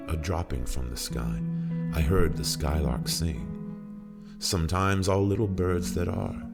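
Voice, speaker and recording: A haunted old mariner, acted by a man, recites his ghostly sea tale in solemn, theatrical verse. His voice is extremely deep and full of gravel, over clearly audible plucked guitar music. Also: heavily acted. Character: haunted old male sailor recounting ghostly ordeal at sea. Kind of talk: dramatic poetry recitation. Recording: music under the voice.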